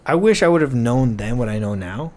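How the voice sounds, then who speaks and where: A man's voice: mid-range voice, animated, brisk, darkish tone. A young man from Scotland who now talks with an American accent, co-hosting a casual podcast over beer, rambling, swearing and tripping over his words. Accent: American, with a faint Scottish trace. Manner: rambling, swears a lot, stumbles and repeats words. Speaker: podcast co-host.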